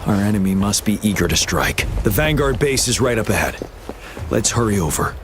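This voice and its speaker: A man's voice: on the low side, lively intonation, brisk, background noise, lightly acted. A man playing a stern, resolute military general in a game, speaking in a grave, formal, commanding manner. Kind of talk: scripted game dialogue. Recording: background music.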